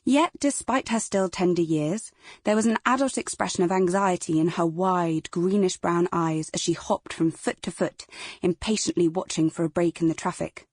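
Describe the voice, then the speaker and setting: A woman's voice: lowish voice, moderate pace, darkish tone, slightly rough, no room sound. A woman with a British accent narrating dramatic fiction as a scripted audiobook.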